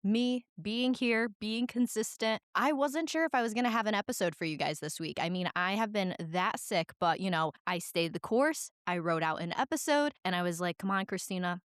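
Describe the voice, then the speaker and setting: A woman's voice: medium pitch, normal speed, hardly any pauses, smooth, no echo. Woman in her twenties, podcast host, under the weather, voice on the edge of cracking, rambling and self-deprecating, dry close mic. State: sick, voice cracking. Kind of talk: solo podcast monologue.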